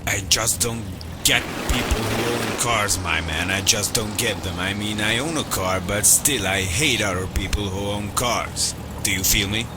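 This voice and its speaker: A man's voice: on the low side, a little slow, few pauses, lightly acted. An actor playing a hot-headed, sardonic male radio host who grumbles through comic monologues in a video game. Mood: ranting, indignant. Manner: grumbling, rambling, complaining. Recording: loud music and car noise over voice. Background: loud music, car engine.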